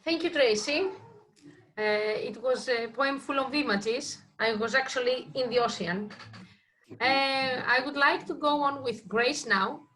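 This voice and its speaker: Woman moderator, sharp and higher-pitched, conversational, on a video call with light noise and clicks. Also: measured pace, rough recording. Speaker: meeting host and moderator. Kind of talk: casual moderating of an online group.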